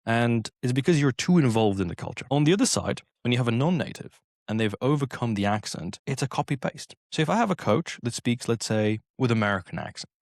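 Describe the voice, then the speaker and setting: A young man from Slovenia, a native Slovenian speaker, talking animatedly as an English accent coach in an interview, slipping into quick acted-out quotes. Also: medium pitch, expressive, no echo, studio quality. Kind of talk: casual interview talk.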